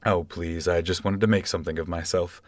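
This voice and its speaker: An actor playing an earnest, defensive galactic presidential candidate with formal politician's talk in a comic sci-fi radio play. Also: male voice, deep voice, steady tone, brisk, no echo, natural voice. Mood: earnest, modest.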